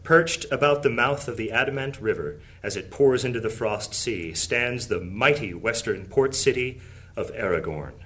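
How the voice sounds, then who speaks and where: A man's voice: medium pitch, a bit bright, natural voice. A man narrating a fantasy audio drama in a grand, scripted storytelling manner.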